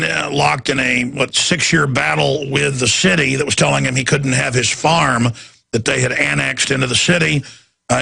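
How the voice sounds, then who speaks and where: A hoarse, raspy man hosting a radio talk show, straining his voice as he rants in indignant political commentary from a studio. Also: on the high side, pushed and bright, no echo. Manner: ranting, indignant.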